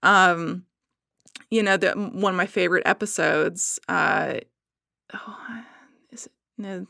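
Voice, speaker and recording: A woman podcast guest chatting casually and enthusiastically, often halting to search for words. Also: on the low side, vocal fry, bright, forceful tone, dry recording, studio quality. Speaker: podcast guest, writer and illustrator.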